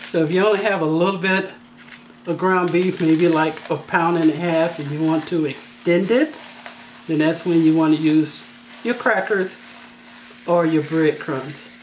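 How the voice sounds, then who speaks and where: Woman w a bit of a raspy voice chatting thru a home cooking video, faint kitchen noise behind. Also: sits low, steady tone, unhurried, darkish tone, low-quality recording. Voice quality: slightly raspy. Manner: chatty, down-to-earth, joking asides. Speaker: cooking channel host. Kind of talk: casual cooking tutorial voice-over.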